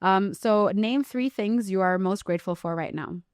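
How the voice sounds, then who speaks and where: A woman hosting a podcast in a casual, candid conversation, telling stories in an animated, slangy way. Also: mid-range voice, moderate pace, clean tone, dry recording, studio quality. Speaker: podcast host.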